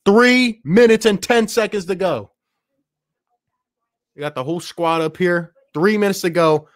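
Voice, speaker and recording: A man hosting a sports livestream in an excited, casual way, reading out viewer messages with plenty of slangy exclamations. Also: high-pitched, a little slow, no echo, studio quality. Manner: slangy, exclamatory, addresses listeners as man and bro. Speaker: livestream host.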